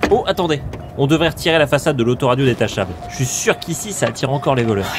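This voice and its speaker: A man acting in a French comedy audio drama as an expressive, grumbling middle-aged leader of a group outing. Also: mid-range voice, fast, background noise, close to an everyday voice. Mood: fussy. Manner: exasperated, expressive.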